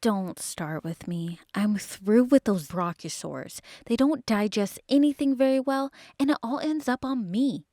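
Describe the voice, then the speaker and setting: A woman's voice: a little high, animated, measured pace, few pauses, warm tone, dry recording, studio quality. A woman playing a brash, sarcastic dinosaur park animal handler in an audio drama, talking in a wry, colloquial way. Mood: wry, grumbling.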